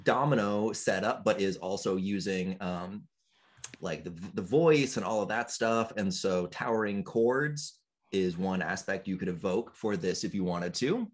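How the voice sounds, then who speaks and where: Slow, low-voiced male game master. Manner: encouraging, hesitant with frequent ums. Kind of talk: running a tabletop game over voice chat.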